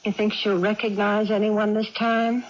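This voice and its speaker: A woman's voice: medium pitch, little pitch movement, normal speed, close to an everyday voice. Indulgent mother, middle-aged woman performing, for a radio play. Soothing, hesitant delivery, muffled vintage broadcast sound with light noise. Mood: fond. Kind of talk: 1980s radio drama acting. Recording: dull, noisy vintage radio recording.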